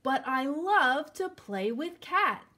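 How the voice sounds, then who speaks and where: A woman's voice: sits high, animated, takes it slowly, bright, forceful tone, full character voice. Woman voicing an earnest, easily tempted storybook dog. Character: eager, well-meaning but easily tempted pet dog, male.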